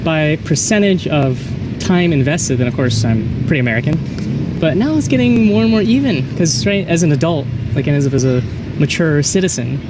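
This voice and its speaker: A man studying philosophy, thinking aloud in a musing, hesitant way during a street interview. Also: fairly high pitch. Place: busy city street outdoors. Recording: distant mic with street noise.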